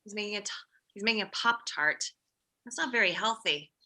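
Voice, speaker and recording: An anxious suburban mom of a teenage boy, acted by a woman, fretful and prone to blurting. Her voice is very bright and forceful at a middle pitch, in a comedy table read. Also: brisk. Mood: alarmed.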